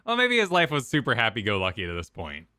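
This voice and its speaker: A man's voice: mid-range voice, huge pitch swings, quick pace, sharp tone, clear, resonant tone, no room sound, clean studio sound. A man co-hosting a gaming stream in casual, joking chat as he reacts to game cutscenes. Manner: joking, informal.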